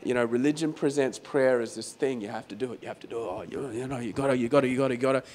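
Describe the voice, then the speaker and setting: A man preaching a sermon as pastor in a croaky, hoarse voice, talking conversationally and repeating phrases for emphasis. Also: medium pitch, very fast, darkish tone. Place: venue hall during a church service.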